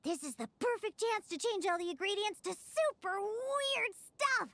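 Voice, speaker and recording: Very high, wry young female bartender. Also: animated, moderate pace, fairly bright tone, full character voice. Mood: mischievous. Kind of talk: video game voice acting.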